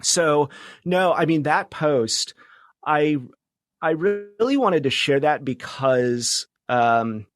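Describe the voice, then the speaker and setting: A man speaking as an interview guest in casual conversation, reflective and halting, often restarting his thoughts. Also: on the high side, lively intonation, no room sound, clean studio sound. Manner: halting, reflective, many false starts. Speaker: podcast guest, media founder and journalist.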